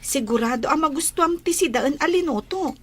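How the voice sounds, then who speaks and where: A woman as a high-voiced young Ilocano countrywoman. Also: expressive, a little fast, a bit bright, slight background noise. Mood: chatty, hopeful. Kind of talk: Ilocano radio-style drama acting.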